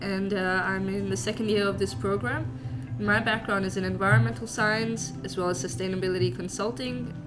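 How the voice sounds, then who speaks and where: Woman from Singapore, student, lively intro, mid pitch, music underneath. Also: fairly quick. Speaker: master's student.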